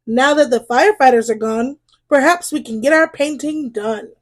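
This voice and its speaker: A woman's voice: high voice, moderate pace. A woman voicing a cheerful, bumbling male house painter from a picture book, with eager delivery. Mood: reassuring.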